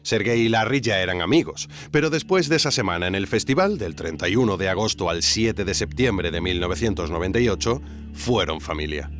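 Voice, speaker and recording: A man's voice: deep voice, animated, brisk, fairly bright tone. A man playing a wry investigative narrator, reading scripted documentary narration in an audio drama. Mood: wry storytelling. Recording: faint music bed.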